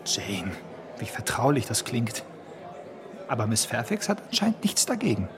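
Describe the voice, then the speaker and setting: An actor playing a capricious young gentleman with an impulsive, abrupt manner in a radio drama. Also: mid-range voice, very animated, moderate pace, crowd noise, natural voice. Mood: irritated, restless. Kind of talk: German radio drama acting.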